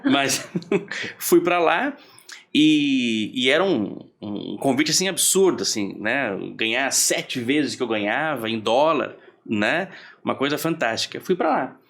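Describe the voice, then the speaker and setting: Relaxed man from southern Brazil, rambling. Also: medium pitch, unhurried. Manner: relaxed, rambling, many restarts and fillers, laughs easily. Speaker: podcast guest. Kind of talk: casual storytelling in conversation.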